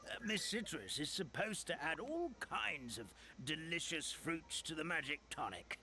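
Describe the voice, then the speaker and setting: A theatrical old grandpa creature from a fantasy game, fretting over his tavern with kindly fuss. His voice is bright and swoops through a very wide pitch range, a little higher than most men. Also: big pitch swings, measured pace, slight background noise, heavily acted. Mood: warm. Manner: fretful, theatrical exclamations. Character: elderly male almond-like creature, fussy and kindly. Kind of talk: game character dialogue.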